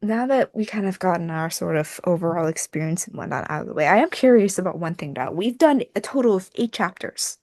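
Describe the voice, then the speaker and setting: A woman's voice: lowish voice, expressive, brisk, hardly any pauses, a little mellow, dry recording, clean studio sound. A woman hosting a casual podcast chat, talking in a wordy, meandering way peppered with filler phrases. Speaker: podcast host and fandub team member.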